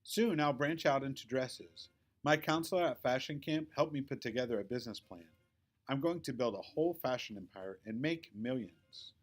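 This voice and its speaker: A man's voice: mid-range voice, normal speed, close to an everyday voice. A man voicing a bossy, snobbish young girl with a haughty, emphatic manner in a children's storybook. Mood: boastful. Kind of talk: children's storybook read-aloud.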